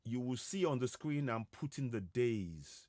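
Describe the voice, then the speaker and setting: Slow, halting man hosting. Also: medium pitch, animated, darkish tone, no echo, clean studio sound. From Yorubaland, West Africa. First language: Yoruba. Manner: halting, repetitive, with many hesitations. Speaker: host of an online broadcast. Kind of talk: live monologue to viewers, advocacy talk.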